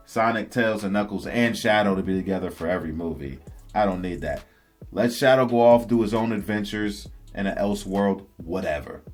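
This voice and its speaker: A man's voice: lowish voice, husky. A man hosting a vlog, giving casual, enthusiastic opinion commentary to viewers in slangy fan talk. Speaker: host of a video game and movie news channel. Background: background music.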